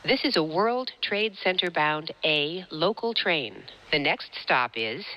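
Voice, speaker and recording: A woman's voice: on the low side, expressive, a little slow, pushed and bright, rough recording. A woman reading scripted transit announcements in a formal, phrase-by-phrase way. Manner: clear, formal, clipped phrase-by-phrase delivery. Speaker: train announcer. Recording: faint background noise, recorded aboard trains.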